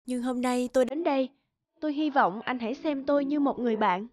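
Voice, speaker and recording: A woman voicing a calm, friendly female lawyer in a dubbed cartoon. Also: sits high, fairly quick, natural voice. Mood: gentle. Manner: gentle, friendly.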